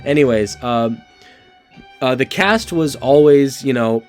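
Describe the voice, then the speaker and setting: A man's voice: mid-range voice, expressive, slow, a little mellow, faint music behind. An eighteen-year-old man hosting a casual spoken movie review, sounding congested from allergies, loose and profane with lots of fillers and restarts.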